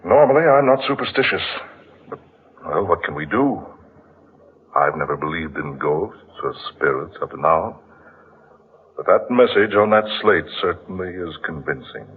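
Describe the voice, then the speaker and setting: An actor playing an earnest, persuasive ship's officer with an emphatic, formal manner, in old-time radio drama style. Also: male voice, low-pitched, lively intonation, normal speed, long pauses, husky. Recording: muffled, noisy old radio recording.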